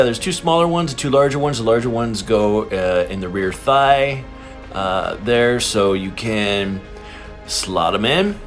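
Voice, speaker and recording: A man chatting casually through a toy unboxing and review, with an excitable, giggly fan's enthusiasm. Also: medium pitch. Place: indoors in an apartment.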